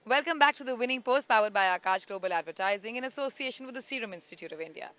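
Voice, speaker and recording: A woman hosts a racing show at speed, her voice bright and forceful. She moves through her links briskly with an upbeat push. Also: on the high side, expressive. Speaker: show host. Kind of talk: presenter links.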